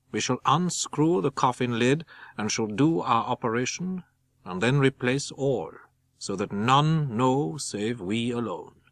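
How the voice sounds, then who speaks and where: A man voicing a stern, commanding old foreign professor in a dramatic audiobook, with deliberate, halting phrasing. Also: medium pitch, dry recording.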